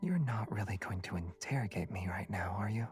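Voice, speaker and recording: An actor playing a calm, confident young male hunter in a video game, composed and understated. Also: on the low side, brisk, soft background music, close to an everyday voice. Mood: soft, teasing. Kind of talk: scripted game voice acting.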